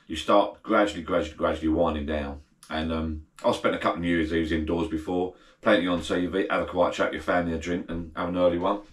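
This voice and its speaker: Deep-voiced, croaky man rambling in a shed bar. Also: fairly bright tone, reverberant. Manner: chatty, self-deprecating, meandering. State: croaky after a night of drinks. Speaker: vlogger. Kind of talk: casual rambling chat to camera. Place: garden bar shed, indoors.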